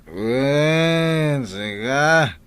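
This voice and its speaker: A man's voice: medium pitch, animated, drawn-out words, fairly bright tone, smooth. A man with a Filipino accent playing a troubled, hard-drinking husband in a radio drama, groggy and defensive. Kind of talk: Ilocano radio drama acting.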